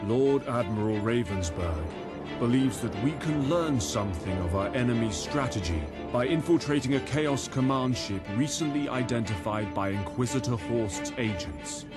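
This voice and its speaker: An actor playing a grim, devout imperial naval officer, giving solemn, formal game narration. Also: male voice, mid-range voice, animated, normal speed, loud music behind the voice, natural voice. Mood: solemn, resolute. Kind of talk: scripted cinematic narration.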